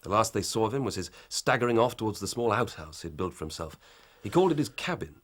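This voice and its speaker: An actor playing an earnest, ambitious young police inspector, formal and methodical. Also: male voice, fairly low pitch, big pitch swings, quick pace. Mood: reporting. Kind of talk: radio drama acting.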